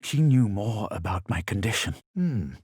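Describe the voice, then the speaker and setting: A man playing a self-assured, haughty adventurer in a fantasy video game, speaking in a measured, theatrical, superior way. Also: fairly low pitch, very animated, a little mellow, husky, dry recording, clean studio sound. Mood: composed, measured. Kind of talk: fantasy game dialogue and narration.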